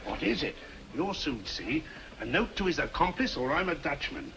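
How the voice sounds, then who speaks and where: An actor playing a cocky, blustering English police inspector in a vintage detective film style, sarcastic and colloquial. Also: male voice, a little high, sing-song, normal speed, vocal fry, background noise. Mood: smug. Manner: sarcastic, colloquial, self-satisfied.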